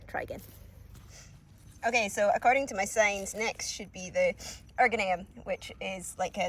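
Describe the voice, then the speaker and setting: A woman's voice: medium pitch, a little hiss or hum. A woman with a cold, a bit sniffly, chatting casually through a walk-through of her allotment garden with lots of ums and little laughs. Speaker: gardening vlogger.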